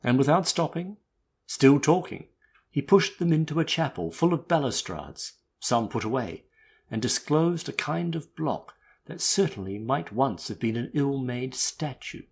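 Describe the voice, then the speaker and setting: A man narrating a classic novel as a dramatic audiobook, with a husky voice. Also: medium pitch, lively intonation, unhurried.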